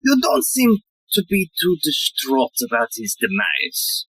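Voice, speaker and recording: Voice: mid-range voice, big pitch swings, measured pace, a bit bright, breathy edge, no echo, exaggerated voice, rough recording. An actor playing a pompous, absent-minded, muddled police inspector in a farcical audio play. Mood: suspicious.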